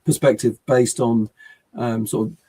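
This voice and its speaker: Halting, husky-voiced host. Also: male voice, medium pitch, steady tone, dark tone. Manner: halting, with many fillers and self-corrections. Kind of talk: podcast hosting.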